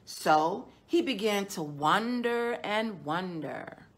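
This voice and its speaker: A middle-aged woman, a children's storytime host, reading a picture book aloud in a measured, deliberate way with drawn-out words. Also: mid-range voice, lively intonation. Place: indoors, small decorated room.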